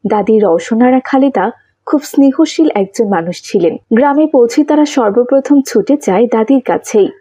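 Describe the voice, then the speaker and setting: A woman narrating a scripted children's story, with a husky, mellow voice. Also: a little high, expressive, moderate pace, no room sound.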